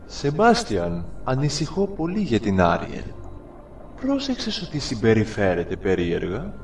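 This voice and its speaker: A man's voice: medium pitch, huge pitch swings, echoey room, far from the mic, faint music behind. A man voicing a stern, commanding old sea king who is a strict father. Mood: suspicious. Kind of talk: fan dub acting.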